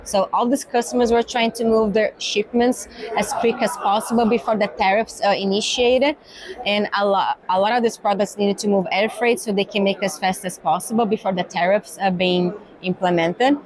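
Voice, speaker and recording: A woman with a Brazilian accent talks through her answers at a medium pitch, pausing on "uh" and "like" as she thinks. She checks in with a tag "right?" after her points. It is a relaxed, earnest interview at a trade exhibition. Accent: Brazilian Portuguese. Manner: thoughtful, many fillers and tag "right?". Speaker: guest, pharma cargo executive. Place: exhibition hall.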